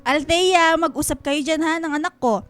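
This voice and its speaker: A woman's voice: high-pitched, normal speed, a bit bright, faint music behind. A woman playing a jolly, effusive godmother who teases playfully, in a radio drama. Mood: playful teasing. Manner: effusive, teasing, giggly. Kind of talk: Filipino radio drama acting.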